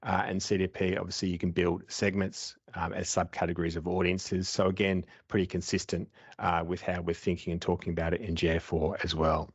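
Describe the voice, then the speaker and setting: A man's voice: sits low, level tone, a little fast, hardly any pauses, slightly rough, dry recording. A man in his thirties giving a webinar talk over a headset from a home office, explaining steadily with frequent filler words. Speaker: webinar presenter. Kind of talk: presenting slides. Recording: dull dry video call audio.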